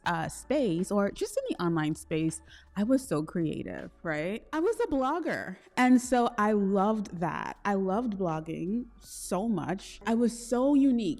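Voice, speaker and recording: A woman from Trinidad hosting a solo podcast, talking in a chatty, expressive way with slangy asides. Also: medium pitch, unhurried. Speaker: podcast host. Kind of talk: solo podcast, casual self-reflection.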